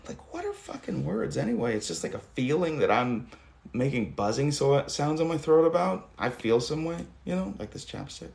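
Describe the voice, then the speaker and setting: A hoarse man in his thirties hosting a casual livestream, talking loosely and swearing now and then. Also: fairly high pitch, big pitch swings, normal speed, darkish tone. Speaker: livestream host. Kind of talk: rambling casual livestream chat. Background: guitar music.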